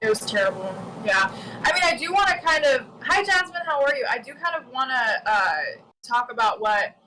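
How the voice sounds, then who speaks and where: A woman's voice: sits high, lively intonation, moderate pace, sharp tone, slight background noise, poor audio. A young woman raised in the United States, talking casually and with animation about politics on a stream, often adding "you know" and "right?". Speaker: guest commentator.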